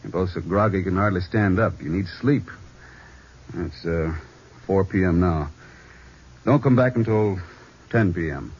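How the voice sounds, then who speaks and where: An actor playing a firm, commanding police chief in a 1940s radio drama. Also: male voice, deep voice, a little slow, pauses often, noisy background. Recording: dull lo-fi 1940s radio recording.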